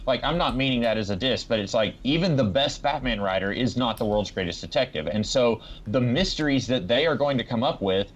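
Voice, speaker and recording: A man's voice: medium pitch, lively intonation, fairly quick, hardly any pauses. A man giving his opinions in a casual, informal way as a podcast co-host. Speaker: podcast co-host reviewing comics. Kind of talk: casual discussion. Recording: muffled lo-fi mic with background noise.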